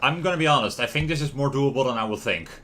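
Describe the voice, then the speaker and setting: A man's voice: medium pitch, fast talker, noisy background. A man giving casual, rambling gameplay commentary as a livestream host, chatting to viewers as he goes. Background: lo-fi music and game sound.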